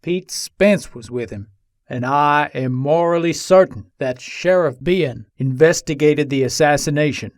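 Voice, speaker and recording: A man's voice: a little high, measured pace, no echo, studio quality. A man playing an embattled Old West gunslinger who pleads his case from jail in formal, old-fashioned storytelling, in a dramatic reading. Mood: accusing, resentful.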